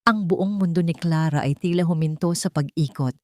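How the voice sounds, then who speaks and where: Female storyteller for romance audio drama, lower register, scripted delivery, dry studio-like sound. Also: normal speed, warm tone, no echo. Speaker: story narrator.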